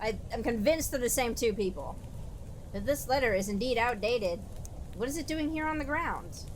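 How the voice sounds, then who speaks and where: A woman's voice: on the high side, lively intonation, normal speed, slight background noise, low-quality recording. A woman playing through a game with casual, wisecracking commentary, reading the text aloud, with music behind her. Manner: sardonic, wisecracking. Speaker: game streamer.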